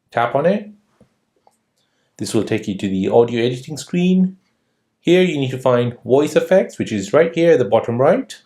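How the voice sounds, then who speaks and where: Guy doing an app how-to, kinda higher voice, very up and down delivery, scripted. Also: mellow. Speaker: tutorial host. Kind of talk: scripted step-by-step app tutorial narration.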